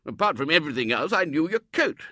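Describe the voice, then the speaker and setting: Brief: man playing a loud, effusive old Colonel, lively swooping voice, high and bright. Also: fast talker, no room sound, studio quality, exaggerated voice. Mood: gushing. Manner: booming, gushing, stammering at times. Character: blustering elderly male Colonel, loud and effusive. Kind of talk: storybook reading.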